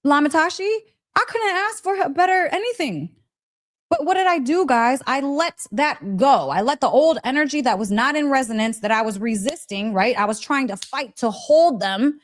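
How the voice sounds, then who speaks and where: Gushing high-voiced woman, chatty and emphatic. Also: expressive, normal speed. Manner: chatty, direct address with frequent check-in questions. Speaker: host of a live story session, spiritual teacher. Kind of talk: casual motivational live-stream storytelling.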